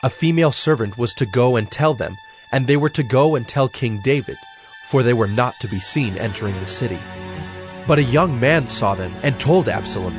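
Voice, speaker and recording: Measured male scripture narrator over soft music. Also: mid-range voice, expressive.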